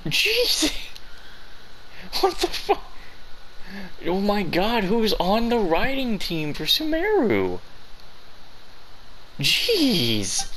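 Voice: mid-range voice, huge pitch swings, drawn-out words, pauses often, a bit bright, slight background noise, low-quality recording. A gamer giving casual, joking playthrough commentary and reacting informally. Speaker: gaming streamer.

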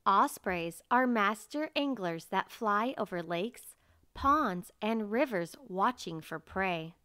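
A woman reading a children's nature picture book aloud as narrator, in a measured, carefully enunciated way. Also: mid-range voice, lively intonation, pushed and bright, clear, resonant tone, dry recording, studio quality.

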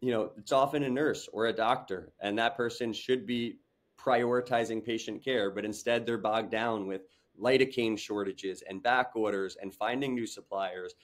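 Young man pitching on a video call. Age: young adult. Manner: earnest, fast pitch with many fillers and restarts. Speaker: startup founder, guest. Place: home room with large window.

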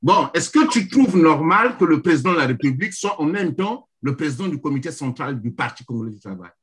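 Animated husky man pressing questions. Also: on the high side, brisk. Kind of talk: political debate on video call. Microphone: video call.

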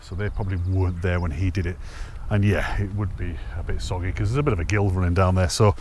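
Low-voiced man chatting on a hillside hike. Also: fairly even intonation, quick pace, a little mellow, slight background noise. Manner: chatty, enthusiastic, laughs to himself. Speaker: hiking vlogger. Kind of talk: casual walking commentary. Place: outdoors on open fells and woodland paths. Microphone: handheld camera at arm's length.

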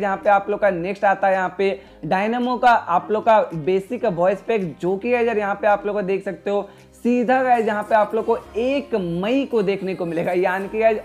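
A man with an Indian accent doing a casual gaming news voice-over as a YouTube host, repeatedly addressing his listeners. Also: high-pitched. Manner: repetitive, addresses listeners constantly as friends. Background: background music.

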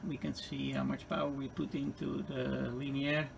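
A man with a Dutch accent and a gravelly voice gives an unscripted, halting hands-on equipment demonstration. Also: medium pitch, flat delivery. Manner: halting, hesitant phrasing with repeated words. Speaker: hobbyist demonstrator. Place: indoor electronics workbench. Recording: clearly noisy room recording.

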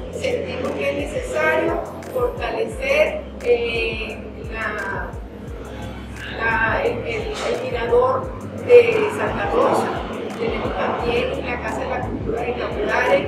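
A middle-aged woman from Venezuela, a cultural official, giving an earnest, insistent statement at an indoor press conference, with many restarts and fillers. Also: on the high side. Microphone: table mic, far from mouth. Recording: echoey room heard from a distance, music underneath.

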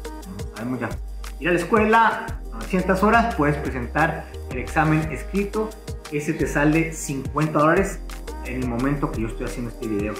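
A man, giving earnest advice to camera as a barber instructor, pausing often with fillers and restarts. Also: fairly high pitch, lively intonation, roomy sound, over music. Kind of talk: casual explanatory talk to camera. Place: living room at home, indoors.

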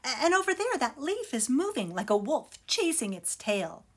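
A woman voicing eager little wolf cubs in a high, excited, exclaiming voice. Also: moderate pace.

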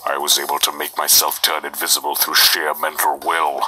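A man voicing a gruff, unhinged, short-tempered skeleton in a cartoon comic dub, with a gravelly voice. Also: rumbling low, little pitch movement, fairly quick, pushed and bright, heavily acted. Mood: unhinged, excited. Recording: played-back video with music behind.

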